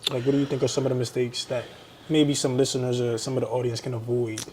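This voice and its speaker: A man hosting a podcast interview, encouraging and quick to affirm his guest. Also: mid-range voice, level tone, a little mellow, a little hiss or hum. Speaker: podcast host. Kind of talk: casual interview conversation.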